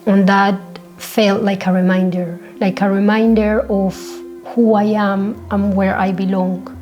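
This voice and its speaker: A woman's voice: mid-range voice, darkish tone. A native Spanish-speaking woman with a Spanish accent, hosting a solo podcast and talking about herself in a reflective, earnest way. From born in Colombia, grew up in Spain. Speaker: podcast host. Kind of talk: personal solo podcast monologue.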